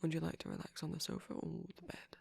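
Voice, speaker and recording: A performer playing a shy, caring vampire boyfriend in an intimate ASMR roleplay, speaking softly and breathily with hesitant pauses. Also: dry recording, natural voice. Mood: tender, hesitant. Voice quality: breathy, often near whisper. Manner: soft-spoken, breathy, halting with gentle pauses.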